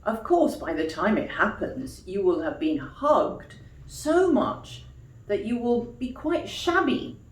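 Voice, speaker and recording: A woman voicing a wise, kindly old leather toy horse from a storybook, gently explaining without hurry. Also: mid-range voice, animated, slow pace, reverberant, rough recording.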